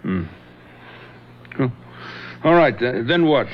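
An actor playing a skeptical police detective lieutenant questioning witnesses in a dry manner in a radio drama. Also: male voice, medium pitch, lively intonation, slow pace. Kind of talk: 1970s radio drama acting. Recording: dull lo-fi radio recording with hiss.

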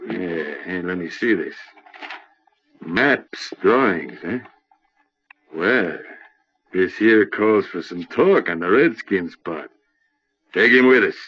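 An actor playing a menacing outlaw gang leader in an old radio western, with gruff, bossy frontier slang and an American West accent. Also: male voice, low-pitched, expressive, slow, long pauses, heavily acted. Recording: dull, lo-fi old radio recording.

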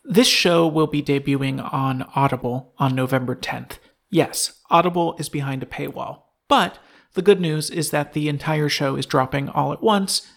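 A man's voice: a little high, gravelly, a bit bright. A man giving a casual, enthusiastic podcast announcement as the show's co-creator.

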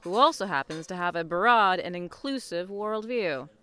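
A woman's voice: on the low side, lively intonation, normal speed, pushed and bright. A woman playing a wry, teasing barista. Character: sardonic young nonbinary barista, teasing and witty. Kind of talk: audio drama acting.

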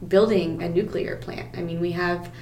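A woman's voice: on the low side, steady tone, moderate pace, a little mellow. A woman co-hosting a podcast, asking doubtful questions in casual talk. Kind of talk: casual policy discussion. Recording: roomy echo, faint background noise.

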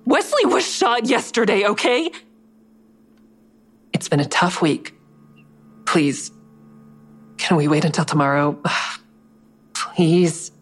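A woman's voice: lowish voice, animated, slow, pauses often. An actress playing a guarded, stressed woman investigator who also narrates in a first-person audio drama. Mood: exasperated, pleading. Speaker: narrator and lead character.

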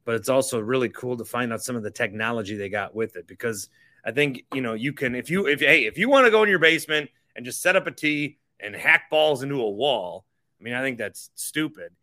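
A man's voice: mid-range voice, lively intonation, a little fast, bright, forceful tone, dry recording, studio quality. A man hosting a sports podcast, talking casually in an opinionated, blunt manner. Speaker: podcast host.

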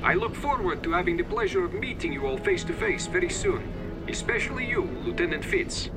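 An actor playing a menacing professor in pursuit, speaking with formal, measured courtesy in an audio drama. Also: male voice, high voice, lively intonation, loud music behind the voice, poor audio. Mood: courteously menacing. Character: smooth, menacing male professor leading a pursuit. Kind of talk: audio drama villain acting.

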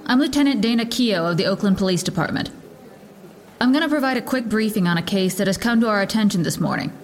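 Stern American policewoman played by an actress, mid-pitched, official and impatient, for audio drama press briefing. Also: close to an everyday voice. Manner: formal, authoritative, clipped. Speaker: police lieutenant.

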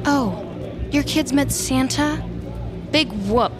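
A woman's voice: high voice, takes it slowly, over music, a crowd in the background. An actress playing an anxious, scheming woman in an audio drama, sardonic and dramatic, often talking to herself. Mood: menacing, scornful. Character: Nancy, anxious, scheming, vindictive woman in crisis. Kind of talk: scripted fiction podcast acting, monologue and dialogue.

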